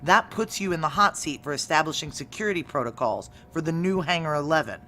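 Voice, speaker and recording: A woman's voice: deep voice, expressive, brisk, bright, forceful tone. A woman acting a tough, guarded female security chief in a noir audio drama, terse, wry and confiding. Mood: weary, insistent. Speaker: lead character and narrator.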